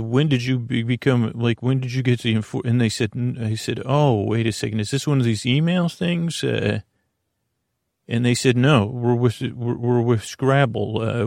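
A man hosting a bedtime podcast, talking in a slow, meandering way full of fillers, tangents and restarts, with a creaky voice. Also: mid-range voice, a little mellow, no room sound, clean studio sound. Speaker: podcast host. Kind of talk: rambling bedtime podcast monologue.

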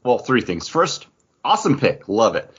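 A man co-hosts a casual pop-culture podcast with geeky enthusiasm. His husky voice swings up and down in a lively way. The audio is a little dull and lo-fi. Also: mid-range voice, normal speed. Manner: enthusiastic geeky fan talk. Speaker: podcast co-host.

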